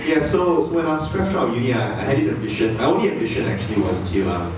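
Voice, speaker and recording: A man's voice: medium pitch, animated, fast, noisy background. Malaysian guy telling his startup story, lots of ums, big echoey hall, mic far away. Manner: chatty, self-deprecating, many fillers and restarts. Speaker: startup founder giving a talk. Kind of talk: casual conference talk.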